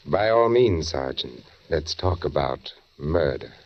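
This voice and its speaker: A man's voice: very deep voice, animated, slow pace, noisy background, heavily acted. An actor playing an urbane, sardonic newspaperman in a 1940s radio drama, with a suave, wry, teasing manner. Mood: wry curiosity. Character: urbane, sardonic newspaperman probing a murder case. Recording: dull 1940s radio recording.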